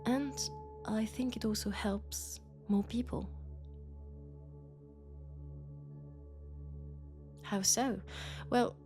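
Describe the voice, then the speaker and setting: A woman with a French accent, sick with a cold, playing a gentle, sociable traveling doctor from Mars in an intimate sci-fi audio roleplay, chatty and soft. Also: fairly high pitch, slow pace, soft background music. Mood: warm, reflective. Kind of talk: intimate sci-fi roleplay acting, one-sided conversation.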